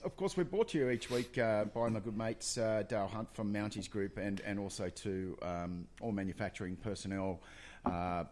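A man's voice: fairly low pitch, darkish tone. A man hosting a casual talk show, chatty and effusive. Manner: chatty, effusive, fast bursts. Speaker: host. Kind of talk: casual radio chat.

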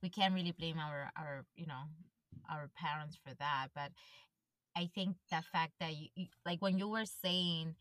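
A woman from Ecuador hosting a relaxed podcast conversation, her voice bright and a touch lower than most. She chats freely with many fillers and slips in Spanish phrases. The sound is close and dry, with no room around it. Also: normal speed, dry recording. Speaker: podcast host. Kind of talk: casual interview chat.

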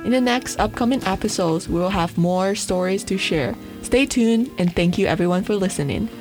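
A woman speaks as a podcast host in a conversational style. Her pitch is average for a woman, with quiet music underneath. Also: normal speed. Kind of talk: conversational interviewing and show hosting.

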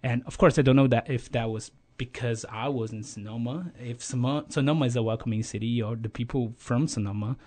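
A man from Brazil speaks English with a Brazilian Portuguese accent in a relaxed interview. His pitch sits in the middle. He is sincere, pausing often with fillers and starting over mid-sentence. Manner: hesitant, many fillers and restarts, earnest. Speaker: guest. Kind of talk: casual interview conversation.

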